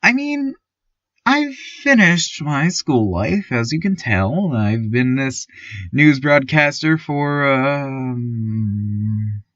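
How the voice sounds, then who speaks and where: A man playing a timid, awkward, eerily cheerful small-town radio news host, stammering and pausing through a surreal fiction podcast monologue. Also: mid-range voice, animated, a bit bright, dry recording. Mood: musing, earnest. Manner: hesitant, stammering, many ums and pauses. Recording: dull, lo-fi, slightly muffled sound.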